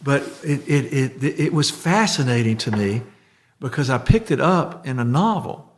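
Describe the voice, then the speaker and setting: A man in easy podcast conversation, mid-pitched and unhurried. He talks in loose, rambling bursts, restarting his sentences and letting them fade out quietly. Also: expressive. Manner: rambling, restarts, trails off softly. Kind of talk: casual conversational chat.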